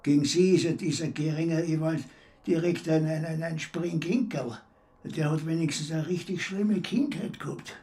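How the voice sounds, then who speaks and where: A man's voice: a little high, normal speed, warm tone, lightly acted. An actor playing a bitter, sarcastic bedridden old man in a radio drama, mocking and blunt, with an Austrian accent. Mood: grumpy. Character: bitter, sarcastic, bedridden old male care-home patient.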